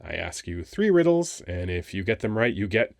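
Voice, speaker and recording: A dry, close recording of a man playing a sphinx guarding an ancient temple in an ASMR roleplay. His voice sits low, and he rambles in a casual, halting way. He comes across as wry, weary and flirtatious. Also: sing-song, creaky, no echo, heavily acted. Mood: wry, bored.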